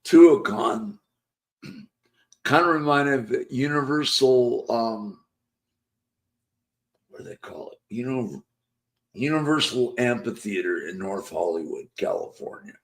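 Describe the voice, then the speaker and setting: A man hosts a live chat show while losing his voice, so his low voice comes out rough and gravelly. He rambles along at an easy, slow pace, reading viewer comments aloud. His pitch swings widely as he talks. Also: lively intonation. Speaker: host.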